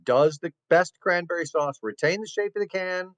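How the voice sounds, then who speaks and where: Guy hosting a chat show, a bit high voiced, wry, dry sound. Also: moderate pace, no echo. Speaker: host. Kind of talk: casual interview chat.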